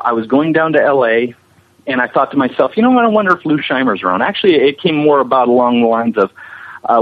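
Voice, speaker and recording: A man being interviewed, telling anecdotes in a chatty, enthusiastic, casual way. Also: mid-range voice. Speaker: visual effects animator being interviewed. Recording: muffled band-limited radio-like line.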